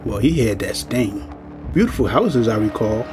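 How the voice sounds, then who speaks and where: A man's voice: lowish voice, expressive, moderate pace, natural voice. Man acting as weary old veteran, folksy working-class speech, grave and ominous, audio drama, music behind. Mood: grave, reflective. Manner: folksy, plain-spoken, ominous storytelling.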